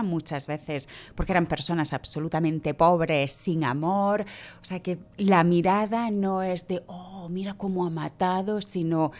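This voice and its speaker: A woman's voice: lowish voice, a little mellow. A woman speaking as a podcast guest in casual discussion.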